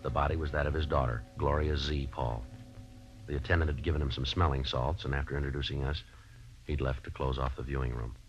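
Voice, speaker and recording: A man playing a terse American detective sergeant in a 1950s radio drama, clipped and deadpan in both narration and dialogue. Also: a bass voice, brisk, soft background music. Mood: businesslike, flat. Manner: clipped, matter-of-fact, deadpan. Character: terse, businesslike male police detective sergeant. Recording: dull, noisy old radio recording.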